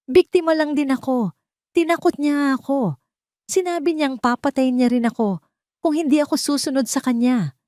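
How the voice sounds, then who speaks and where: A woman's voice: high-pitched, normal speed, darkish tone, dry recording, clean studio sound, voice pushed up, close to an everyday voice. A woman voicing a scheming mistress in an audio drama, tearful and defensive as she plays the victim. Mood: accusing, tearful.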